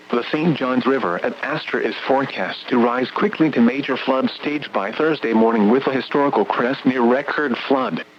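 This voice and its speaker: A synthetic American male voice formally reading a scripted emergency weather bulletin over weather radio, impersonal like an automated announcer. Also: mid-range voice. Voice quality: synthetic text-to-speech voice. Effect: weather radio broadcast. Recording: muffled, noisy weather radio reception.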